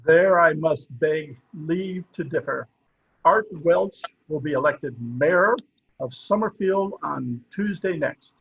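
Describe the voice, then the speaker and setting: A man's voice: on the high side, takes it slowly, creaky, lo-fi sound, a little hiss or hum. A man playing a stubborn, stuffy older judge in old-time radio comedy, with a formal, pompous way of talking. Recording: online video-call recording.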